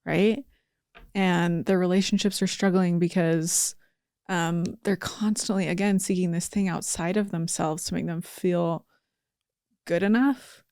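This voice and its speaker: A woman speaking casually and earnestly as a podcast guest, pausing mid-thought in heartfelt talk. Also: fairly low pitch, fairly even intonation, darkish tone, clean tone, dry recording, clean studio sound.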